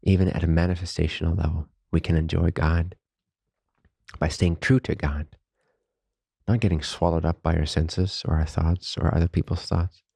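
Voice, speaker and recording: A man guides a meditation in a very low, mellow voice. He speaks softly and calmly, leaving long pauses between reverent phrases. His delivery stays very even, close and dry. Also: vocal fry, slightly rough, no echo. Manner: calm, unhurried, reverent spiritual phrasing. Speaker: meditation teacher.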